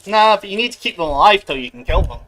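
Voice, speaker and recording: A man's voice: sits high, animated, fast talker, pushed and bright, poor audio. A man hosting his game stream from a shared room, chatting casually with wry, morbid humor and plenty of fillers. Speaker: streamer and channel host. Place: shared room at a home gaming gathering.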